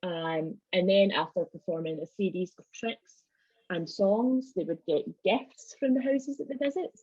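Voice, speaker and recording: A woman's voice: fairly low pitch, unhurried, a little mellow, no echo. A woman with a Scottish accent giving an informal, wry presentation on folk traditions over a video call. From Scotland. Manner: wry, joking asides. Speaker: club member giving a presentation. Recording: slightly dull video-call audio.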